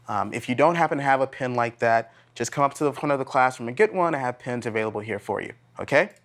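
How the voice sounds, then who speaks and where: A man's voice: medium pitch, fast talker, fairly bright tone, lightly acted. An American man in his thirties playing a blunt, earnest graduate teaching assistant instructing a class in a casual, plain-spoken way. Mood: earnest, instructive. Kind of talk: classroom instruction, acted dramatization. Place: classroom lab, indoors.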